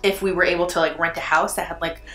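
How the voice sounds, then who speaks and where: A young woman chats away on camera as she does her makeup, her voice slightly low for a woman and full of "like" and easy profanity. The room around her is echoey, with faint music playing underneath. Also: fairly even intonation, fast talker, pushed and bright. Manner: chatty, profane, says "like" constantly. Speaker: beauty vlogger. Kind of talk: casual chat while doing makeup. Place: at home indoors. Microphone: camera mic.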